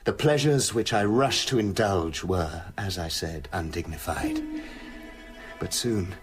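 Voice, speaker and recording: An actor playing a tormented British gentleman scientist confessing his dark side in a brooding, literary radio drama narration. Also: sits low, measured pace, music in the background. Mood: confiding, darkly amused.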